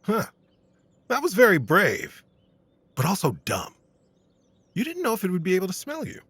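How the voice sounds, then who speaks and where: A man playing a stern but compassionate knight with a gravelly, husky voice in a fantasy audio drama. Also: high voice, huge pitch swings, moderate pace, a bit bright, dry recording, heavily acted. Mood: surprised, chiding.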